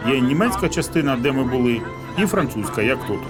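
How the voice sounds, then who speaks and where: A man from Switzerland explains in a friendly way, his voice rising and falling a great deal. Loud music fills the background. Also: medium pitch, animated, fairly quick.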